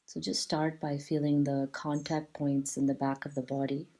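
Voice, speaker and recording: A woman teaching a guided yoga relaxation in a soothing, measured way, leaving long pauses between short phrases. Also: deep voice, level tone, mellow.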